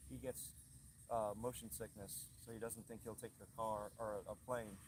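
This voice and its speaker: Hoarse man chatting on a live stream. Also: fairly low pitch, level tone, normal speed, slight background noise. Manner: rambling, chatty, reads out viewer comments. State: hoarse from a cold. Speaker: channel host. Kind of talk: casual live stream chat. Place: outdoors at a haunted trail attraction. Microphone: handheld camera rig.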